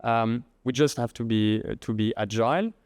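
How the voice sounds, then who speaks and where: French-accented man, halting and smooth. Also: mid-range voice, no room sound. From France. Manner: halting, many restarts and repeated words. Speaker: guest, head of treasury and trading. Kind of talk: podcast interview.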